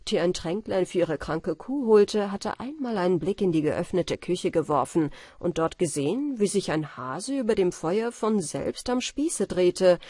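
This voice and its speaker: A woman with speech reads a fairy tale as an audiobook narrator, in a soft, measured and expressive storytelling manner. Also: on the low side, dry recording, studio quality. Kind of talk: scripted fairy tale narration for bedtime listening.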